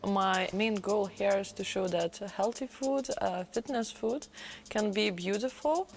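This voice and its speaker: A woman's voice: mid-range voice, a little slow. A young woman, earnestly explaining her cooking as a contestant. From Lithuania. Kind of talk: reality show interview. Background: background music.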